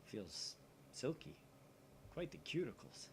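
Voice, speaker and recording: A man's voice: fairly high pitch, slow, vocal fry. A man narrating a visual novel aloud expressively, dropping in casual asides. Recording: steady faint background noise.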